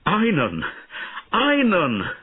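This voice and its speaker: A man voicing a loyal young knight who shouts in a raised, distraught voice. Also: fairly low pitch, big pitch swings, takes it slowly, husky, full character voice. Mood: anguished. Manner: loud and distraught. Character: loyal, fierce male knight. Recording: tinny phone-like audio.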